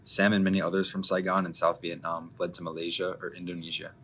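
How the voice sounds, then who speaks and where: A man narrates a documentary in a calm, measured way. His voice sits very low and barely moves in pitch. Faint ambient noise is heard behind him. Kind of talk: scripted narration.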